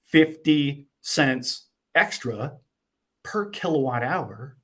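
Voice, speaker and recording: A man's voice: medium pitch, drawn-out words. A man explaining to a host with animation, acting out little exchanges as he goes. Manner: animated, acts out dialogue. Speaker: guest.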